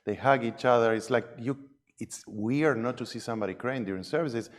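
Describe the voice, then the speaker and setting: A man from Argentina with an Argentine Spanish accent, speaking up earnestly in a class discussion, a little halting and eager to have his say. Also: mid-range voice. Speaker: class participant. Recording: long reverb in a large hall.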